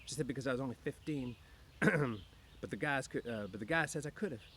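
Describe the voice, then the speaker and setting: A man's voice: medium pitch, fairly quick, a bit bright, slight background noise, voice pushed up, natural voice. A man voicing a young, dreamy, discontented small-town wife with rambling, slangy speech in an audiobook. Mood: boastful, wistful. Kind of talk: audiobook character voice.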